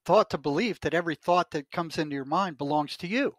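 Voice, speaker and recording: Older man, raised pitch, emphatic conversational explainer, close dry recording. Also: a little fast, fairly bright tone, no room sound.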